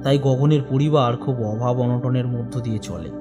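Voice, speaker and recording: Concerned village uncle for horror cartoon, played by a man: middle-aged, mid-pitched, familiar elder storyteller. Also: steady tone, moderate pace, over music, natural voice. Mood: grave storytelling. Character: older village uncle, fish trader, family friend, concerned.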